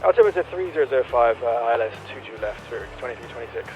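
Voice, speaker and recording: Dull-sounding radio, fast-talking male pilot. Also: mid-range voice, animated, soft background music. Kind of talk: clipped radio readbacks.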